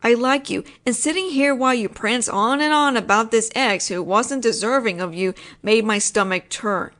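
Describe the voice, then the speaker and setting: Brief: woman, slightly high voice, close mic, roleplay as a possessive roommate, insistent and teasing. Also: normal speed, fairly bright tone. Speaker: voice actress playing a possessive roommate. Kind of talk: scripted one-sided audio roleplay.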